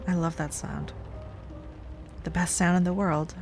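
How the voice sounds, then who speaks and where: A woman playing an obsessive, sweetly pleading ex-girlfriend in an intimate audio roleplay, speaking softly and haltingly. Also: on the low side, steady tone, creaky. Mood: wistful, pleading. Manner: soft, halting, wistful, with sighs and nervous little laughs. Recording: music under the voice.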